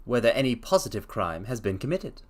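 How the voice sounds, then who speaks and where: A self-assured Victorian detective lays out his reasoning in a precise, emphatic, lecturing way, as a man reads him aloud. His voice sits in a middle register but swings widely in pitch. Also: very animated, a little fast. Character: sharp, confident male Victorian detective.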